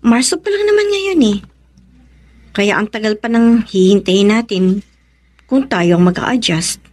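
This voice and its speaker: Considerate, higher-voiced Filipina younger sister. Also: female voice, expressive, unhurried, a little mellow. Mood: thoughtful. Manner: conciliatory, thoughtful. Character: considerate, apologetic younger sister planning her wedding. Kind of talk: Filipino radio drama acting.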